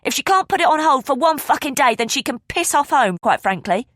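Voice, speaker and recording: Narrator doing a high, shrill, contemptuous sister, young woman, always snapping. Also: big pitch swings, fairly quick, fairly bright tone, no room sound, clean studio sound. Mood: furious. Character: furious spiteful young woman, jealous sister.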